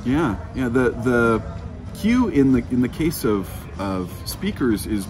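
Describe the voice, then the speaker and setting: A man in his thirties giving an informal technical explanation as an engineer being interviewed, halting as he thinks aloud. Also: medium pitch, very animated, over music. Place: outdoors under a covered walkway.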